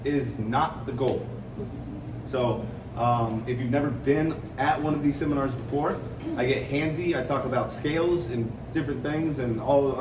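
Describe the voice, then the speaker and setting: An American man giving an informal fitness seminar to a group in a conversational, rambling way, explaining with analogies. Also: mid-range voice. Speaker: fitness coach leading a seminar. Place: room with an audience, mic at a distance. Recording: roomy, distant mic, clear background noise.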